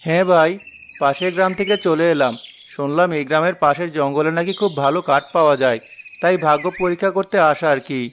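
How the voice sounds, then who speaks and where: A man as a curious higher-voiced young woodcutter. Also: quick pace, a bit bright, a little hiss or hum, lightly acted. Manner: inquisitive, polite.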